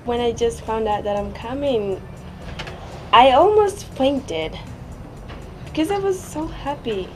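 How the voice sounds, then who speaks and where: A teenage exchange student from Tanzania speaks in halting, excited English. She talks slowly with a mellow, warm tone. Light music plays behind. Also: on the high side, rough recording. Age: teens.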